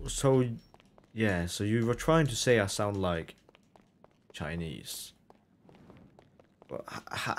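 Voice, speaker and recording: A young man streaming a game live, reading chat aloud and answering it with incredulous, laughing banter. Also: on the low side.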